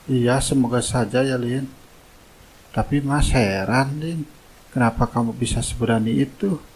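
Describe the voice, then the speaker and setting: A man playing a meek, soft-hearted labourer and husband in a cartoon drama. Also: mid-range voice, unhurried, slight background noise, close to an everyday voice, rough recording. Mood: gentle, admiring.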